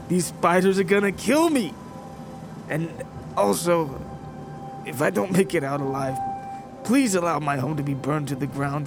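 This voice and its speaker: A man's voice: on the high side, creaky. A man voicing a frightened, stammering old man who rambles and pleads.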